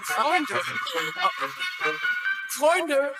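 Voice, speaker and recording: A man's voice: very high voice, animated, very slow, over loud music, heavily acted. A man playing an indignant small-town mayor in a TV drama, speaking emphatically as if addressing his voters. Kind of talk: dubbed TV drama dialogue in German.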